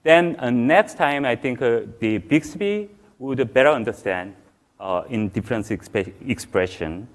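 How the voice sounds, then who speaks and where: Man, Korean accent, forties, conference speaker, halting and full of fillers, mid-pitched. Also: animated. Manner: halting, many fillers and restarts. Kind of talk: technical conference talk. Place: large conference hall stage.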